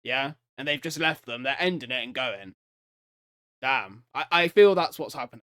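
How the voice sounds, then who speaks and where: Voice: mid-range voice, lively intonation, normal speed, a bit bright, no echo, clean studio sound. A reaction video host giving casual, slangy commentary full of exclamations.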